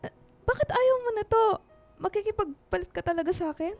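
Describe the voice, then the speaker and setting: A woman's voice: very high-pitched, expressive, a little fast, faint music behind. A woman playing a shy, smitten teenage girl in a radio drama, stammering and exclaiming as she fibs. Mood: giddy, smitten.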